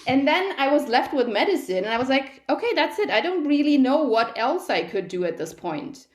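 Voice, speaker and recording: A woman's voice: high-pitched, very animated, a little fast. Middle-aged woman guest, thoughtful and candid, recorded in a room with noticeable echo. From Italy. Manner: reflective, frequent restarts and quoted inner thoughts. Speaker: guest, medical professor. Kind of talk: conversational interview. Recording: echoey room.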